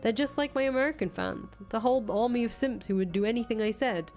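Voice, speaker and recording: A woman's voice: a little high, fast talker, darkish tone, natural voice. Girl doing a bossy young rebel leader lady, foul mouthed, full of herself, visual novel style, music in back. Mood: smug, evasive. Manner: foul-mouthed, boastful, emphatic. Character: brash, grandiose, defensive young woman revolutionary leader. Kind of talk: visual novel character voice reading. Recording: music playing behind the voice.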